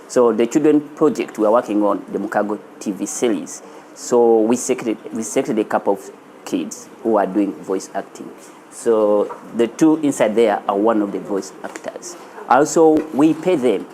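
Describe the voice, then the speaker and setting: A man's voice: on the low side, a little mellow, long reverb tail, a little hiss or hum, poor audio. A man with a Ugandan accent, speaking as the founder of an animation studio in a filmed profile interview. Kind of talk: interview and studio tour for a news feature. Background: music.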